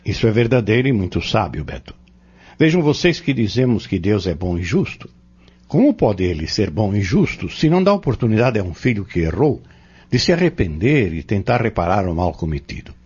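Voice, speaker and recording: A low, animated Brazilian male mentor acted by a man, earnest and didactic. He lays out his lesson in measured phrases and pointed questions, as in an audio drama, on a dull recording. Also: slightly rough. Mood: earnest, instructive. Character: earnest male Spiritist teacher explaining doctrine to youths.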